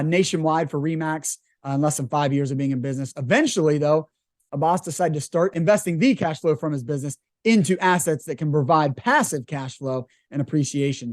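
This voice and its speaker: A man's voice: fairly high pitch, darkish tone, no room sound. Chatty podcast host man, dry sound. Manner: chatty, many fillers and restarts. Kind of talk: casual interview talk.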